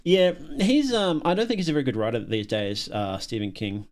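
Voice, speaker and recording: A man's voice: mid-range voice, big pitch swings, fairly quick, darkish tone. A man hosting a casual livestream, chatting to viewers and thinking aloud in a rambling, opinionated way. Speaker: livestream host.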